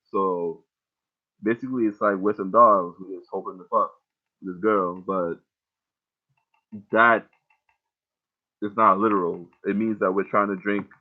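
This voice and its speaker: A young man casually breaking down his own song lyrics line by line, rambling and swearing freely. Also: on the low side. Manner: loose, rambling, heavy casual profanity. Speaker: rapper explaining his lyrics. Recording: tinny phone-like audio.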